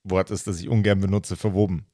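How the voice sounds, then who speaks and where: A man co-hosting a podcast in relaxed-form casual chat at a table with others. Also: low voice, fairly quick, no room sound, clean studio sound. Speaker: podcast co-host. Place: several people at one table.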